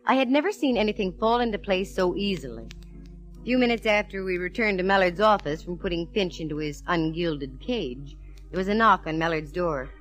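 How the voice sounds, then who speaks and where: An American actress playing a sharp, plucky female private eye in a 1940s radio detective drama. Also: on the low side, natural voice. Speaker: lead actress and narrator. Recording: vintage radio broadcast with music.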